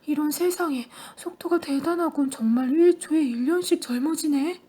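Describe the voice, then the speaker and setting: A woman's voice: sits high, moderate pace, warm tone, close to an everyday voice. A woman voicing a cheerful middle-aged father who marvels with amusement in an audiobook story. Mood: amused.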